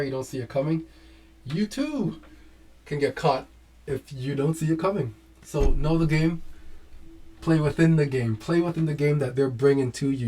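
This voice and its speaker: A man hosting a casual talk, reading documents aloud and breaking off with lively asides to his viewers. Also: mid-range voice. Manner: animated, urging exclamations. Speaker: host.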